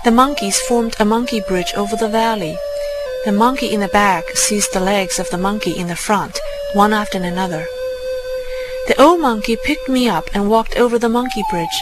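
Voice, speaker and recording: A woman's voice: mid-range voice, rough recording. A woman reading a scripted first-person fairy tale as a storybook narrator. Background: music.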